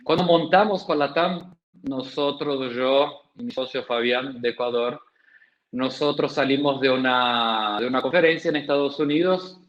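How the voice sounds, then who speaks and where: A man moderating a panel, lively when telling a story. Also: medium pitch. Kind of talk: panel discussion. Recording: dull lo-fi sound.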